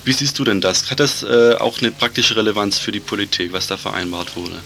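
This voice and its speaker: A man interviewing on the radio about politics, framing his questions in a measured, formal way. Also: on the low side, bright, forceful tone, a little hiss or hum.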